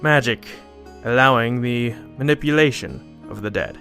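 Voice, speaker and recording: Audio drama companion: man as a knowing monster hunter, middle register, dry, measured, wry, faint music behind. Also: medium pitch, bright, forceful tone. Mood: grave, explaining. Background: background music.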